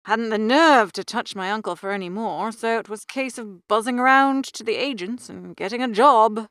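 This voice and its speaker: A man voicing an excitable, lovesick young English gentleman with a plaintive upper-class drawl, in a storybook reading. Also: high-pitched, moderate pace, clean tone, no room sound, pitched above the performer's own voice. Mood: gloomy, bitter.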